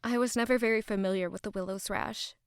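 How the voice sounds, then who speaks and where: An actress playing a gentle, concerned young female attendant to a queen, deferential and caring, in an audio drama. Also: medium pitch, steady tone, fast talker, clear, resonant tone, no room sound, clean studio sound, natural voice.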